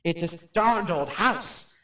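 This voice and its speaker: A man's voice: sits high, slow pace, fairly bright tone. A man playing a chatty, nervous radio personality who addresses his listeners in a folksy way in a radio play. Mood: jumpy, nervous. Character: jumpy, chatty radio showman touring a haunted house. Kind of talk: radio drama acting. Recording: roomy, slightly distant microphone.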